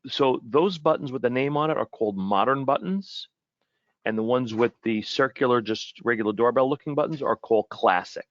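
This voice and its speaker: A man giving an informal webinar product presentation in a halting way, often repeating and rephrasing his words. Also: mid-range voice, no room sound, muffled recording.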